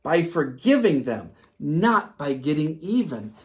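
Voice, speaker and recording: Woman voicing Salty, a slow-talking kindly storybook dad. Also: low-pitched, big pitch swings, darkish tone, exaggerated voice. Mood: gentle, instructive. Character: Salty, kindly wise father figure teaching his child.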